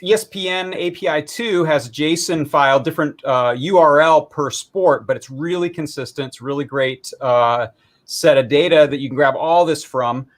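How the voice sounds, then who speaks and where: A man's voice: a little high, normal speed. A man giving a casual, informal live demo, explaining technical details in a rambling, self-deprecating way. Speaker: maker presenting a hobby electronics project.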